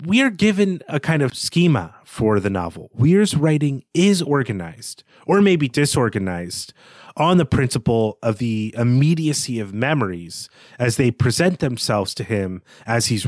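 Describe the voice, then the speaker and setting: A man co-hosting a podcast, talking through literary analysis in an analytical, measured manner. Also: medium pitch, very animated, darkish tone, no room sound, studio quality. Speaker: podcast co-host.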